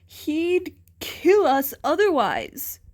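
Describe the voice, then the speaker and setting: A woman reads a young male bully in a fan fiction story. He is hostile and accusing, with a defensive edge, in a fairly high voice. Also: sing-song, very slow, a little mellow, exaggerated voice. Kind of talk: fan fiction audiobook character voice.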